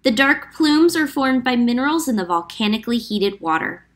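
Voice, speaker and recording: A woman reading a picture book aloud to children as a teacher, clear and explanatory, slowing down on key facts. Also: a little high, lively intonation, fairly bright tone. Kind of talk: read-aloud storytime narration.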